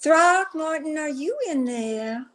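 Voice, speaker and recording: A woman's voice: sits high, lively intonation, slow, a bit bright, full character voice. Lady doing flirty pushy radio comedy belle, coaxing, very up and down melody. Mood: coy, fishing. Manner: coaxing, insistent, gushing. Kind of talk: old-time radio comedy acting.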